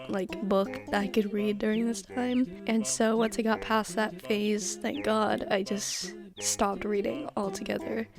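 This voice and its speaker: A teenage girl with a stuffy nose, giving scripted commentary in a chatty, self-mocking, emphatic way. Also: on the high side. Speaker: youtuber. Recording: music playing behind.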